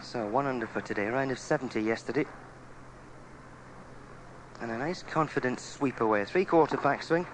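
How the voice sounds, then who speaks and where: A man's voice: medium pitch, moderate pace, long pauses. A man giving live television golf commentary on a satellite broadcast. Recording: noisy satellite TV broadcast audio.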